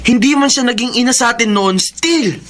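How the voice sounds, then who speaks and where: A man's voice: very high-pitched, normal speed, fairly bright tone. A Filipino man playing a respectful, forgiving young son, earnest and pleading in a radio drama. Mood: earnest protest. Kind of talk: Tagalog radio drama acting.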